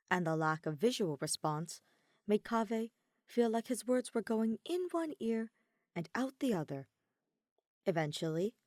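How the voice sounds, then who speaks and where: A woman's voice: mid-range voice, a little mellow, dry recording, clean studio sound. A woman reading a story as scripted narration, expressively, with dramatic emphasis on key words. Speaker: podfic narrator reading fan fiction.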